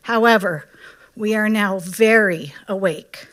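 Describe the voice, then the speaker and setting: A woman reading a prepared public comment at a county board meeting in a measured, critical way. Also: mid-range voice, fairly bright tone.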